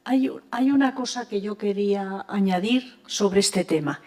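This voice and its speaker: A woman's voice: mid-range voice, measured pace, darkish tone. An older woman from Spain, moderating an academic round table and talking conversationally with frequent restarts. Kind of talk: academic panel discussion. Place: university lecture hall.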